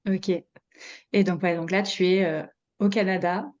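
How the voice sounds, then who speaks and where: A woman's voice: on the low side, steady tone, unhurried, darkish tone, dull audio. A woman hosting a podcast and chatting with a guest. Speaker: podcast host and interviewer. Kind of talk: scripted intro, then casual interview chat.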